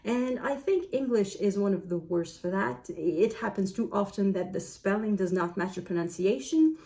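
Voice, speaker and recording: Mellow-voiced French Canadian woman musing. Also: medium pitch, lively intonation. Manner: thoughtful, unhurried, musing aloud. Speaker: book vlogger. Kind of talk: casual talk to camera.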